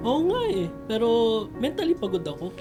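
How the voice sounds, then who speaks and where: A woman's voice: a little high, sing-song, a little fast, warm tone, faint music behind. A woman playing a popular young student athlete in a radio drama, casual and jokey, grumbling lightly with a Filipino accent. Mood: mock weary.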